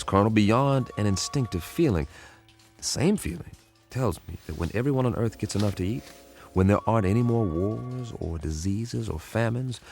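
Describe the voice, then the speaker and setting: A man's voice: lowish voice, vocal fry, a little mellow. A man playing a wry, optimistic government codebreaker who also narrates a radio drama, with a folksy, persuasive manner. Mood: earnest, confident. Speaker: lead actor and first-person narrator. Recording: music underneath.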